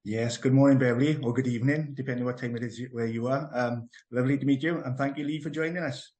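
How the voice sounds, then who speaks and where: A man's voice: mid-range voice, fairly even intonation, fast talker, a little mellow. A middle-aged or older man with a South Wales accent, giving a rambling, enthusiastic personal account as an interview guest. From Merthyr Tydfil, Wales. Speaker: interview guest, health business owner and trainer.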